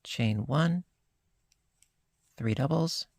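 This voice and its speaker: Slow, gravelly, slightly hoarse crochet teacher. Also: medium pitch, dry recording, studio quality. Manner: calm, unhurried, talks through each stitch. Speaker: crochet instructor. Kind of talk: step-by-step crochet tutorial.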